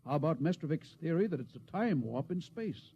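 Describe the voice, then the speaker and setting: A man's voice: fairly high pitch, big pitch swings, fairly quick. A man playing a wry, skeptical ship's doctor in 1950s radio drama style. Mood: probing. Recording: muffled, noisy 1950s radio recording.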